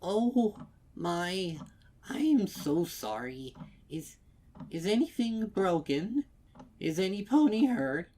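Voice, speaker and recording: A man's voice: high-pitched, sing-song, takes it slowly, dark tone, pitched above the performer's own voice, heavily acted. A man voicing a polite, flustered elderly female pony customer in a storybook reading, fretful and genteel.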